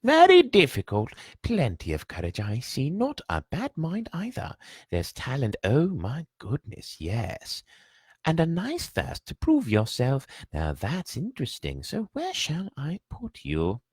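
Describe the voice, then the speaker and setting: A man putting on a pondering old magic hat from a storybook, drawing its words out as it weighs things up. The voice sits higher than his own and swings widely, with a husky edge. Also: wildly swooping pitch, no echo, heavily acted. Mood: musing. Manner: drawn-out, pondering. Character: old talking magic hat, musing and sly.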